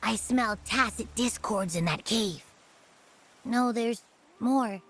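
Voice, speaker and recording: A woman's voice: a little high, slow pace. A woman voicing a small, boastful creature companion in a video game, huffy, blunt and haughty. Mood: alert, uneasy.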